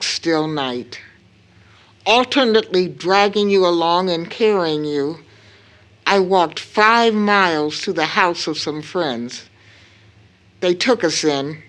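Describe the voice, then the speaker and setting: A woman playing a grieving, proud widowed mother in an audio drama, telling her story solemnly with weighted pauses. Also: on the low side.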